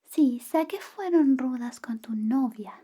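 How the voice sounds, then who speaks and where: A woman acts out a young female best friend, secretly in love, in an ASMR roleplay. The voice is high and very mellow, slipping between murmur and whisper. The delivery is slow, coy and teasing, with a caring streak. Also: animated, slightly rough, heavily acted. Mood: tender, wistful. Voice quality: soft, whispery, high-pitched. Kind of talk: ASMR personal attention roleplay.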